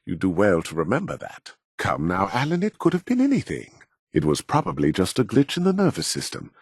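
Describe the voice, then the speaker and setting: An actor playing a proud, middle-aged robotics scientist in a video game, speaking in a measured, formal and condescending way with a husky voice. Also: male voice, sits low, wildly swooping pitch, no echo, clean studio sound, full character voice. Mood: stern, dismissive. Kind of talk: scripted video game dialogue.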